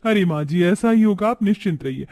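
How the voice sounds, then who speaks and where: A man plays a dutiful young village daughter-in-law in an animated tale. The voice is high-pitched. She speaks gently and respectfully, in a reassuring manner. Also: fairly quick.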